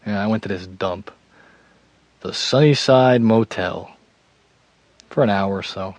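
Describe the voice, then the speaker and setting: Guy acting a troubled husband in a radio drama, lowish voice, stammers and dodges, wry, super dry close sound. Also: a little slow, darkish tone, dry recording, close to an everyday voice. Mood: weary, wry. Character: troubled husband, evasive, self-deprecating, darkly joking hard drinker.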